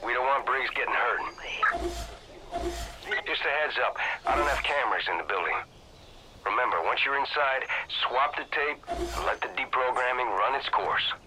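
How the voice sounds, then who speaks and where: An actor playing a watchful resistance radio operator in a video game, giving clipped, informal instructions over radio. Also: male voice, medium pitch, expressive, normal speed, noisy background. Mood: cautious. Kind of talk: video game mission dialogue.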